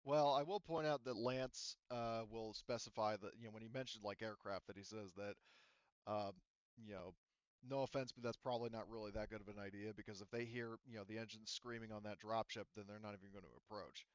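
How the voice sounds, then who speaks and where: A man with a lowish, clear voice acts as game master, improvising explanations for his players. He talks haltingly and circles back to qualify what he says. The recording is dry and a little dull. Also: steady tone, dry recording. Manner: halting, rambling, qualifies himself often.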